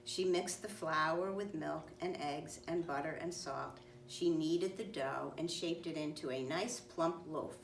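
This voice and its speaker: A woman with a low voice reads a children's story aloud. Her phrasing is deliberate and clear. She sounds slightly away from the mic in an echoing room. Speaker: storybook reader. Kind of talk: children's read-aloud narration. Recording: roomy, distant mic, faint noise.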